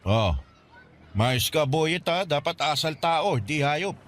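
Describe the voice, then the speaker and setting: Stern, preachy older Filipino guardian. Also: male voice, fairly high pitch, lively intonation, slow, a bit bright. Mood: stern, advising. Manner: preachy, admonishing. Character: poor older bachelor man, stern, caring guardian. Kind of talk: Tagalog radio drama acting.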